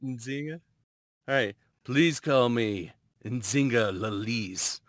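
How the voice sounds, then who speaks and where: A man with a mid-pitched voice speaks slowly and tentatively. He is a casual amateur reader trying out his part. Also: a crowd in the background. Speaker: volunteer voice actor at a table read. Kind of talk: casual chat, tentative line reading.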